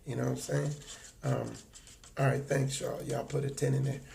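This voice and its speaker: A man hosting a livestream in casual, rambling chat, his voice raspy and hoarse from just waking up, talking in halting, earnest stretches. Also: medium pitch, level tone, warm tone, a little hiss or hum. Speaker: livestream host.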